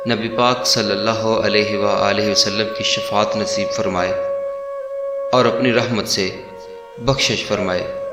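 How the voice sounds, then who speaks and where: Male narrator for devotional moral stories: slow, deliberate pacing, speaking straight to listeners, reverberant sound with clear music behind. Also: lowish voice. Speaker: storyteller and channel host. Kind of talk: moral storytelling narration with religious reflection. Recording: echoey voice over background music.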